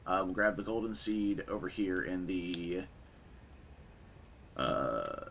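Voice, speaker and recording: A young man with a raspy voice from a concert the night before, casually commentating a game stream and chatting with viewers. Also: low voice, takes it slowly, slight background noise. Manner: relaxed, chatty, answers chat.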